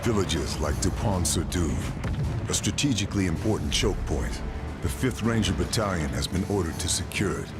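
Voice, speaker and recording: A man's voice: low voice, animated, normal speed, loud music behind the voice. An actor playing a resolute Allied sniper who narrates his wartime missions in a scripted style. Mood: grave, measured. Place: studio voice-over with loud music behind.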